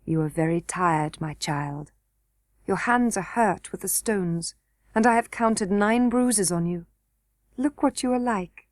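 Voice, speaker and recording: A woman voicing a gentle, wise ancient grandmother who speaks slowly and soothingly. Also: medium pitch, dry recording, clean studio sound, close to an everyday voice. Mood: tender. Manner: calm, slow and soothing.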